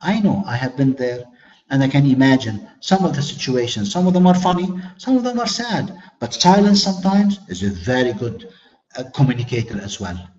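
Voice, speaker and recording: A man's voice: mid-range voice, sing-song, a little fast, darkish tone, highs cut off. A man whose first language is Arabic, giving a webinar lecture on leadership as a corporate trainer, earnest and fond of rhetorical questions and repeated phrases.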